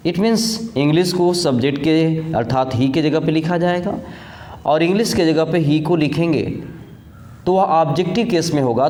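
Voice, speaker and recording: An Indian-accented man gives a classroom-style grammar lesson in a fairly high voice. He explains step by step and repeats his key points, mixing in English terms. The room is very echoey, with some noise behind him. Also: darkish tone.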